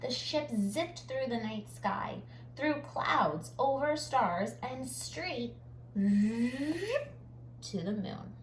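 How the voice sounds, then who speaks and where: A woman reading a children's picture book aloud like a teacher, dramatic on sound words and pausing to comment. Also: high voice, expressive. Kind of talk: expressive children's read-aloud with explanatory asides. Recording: roomy echo, faint background noise.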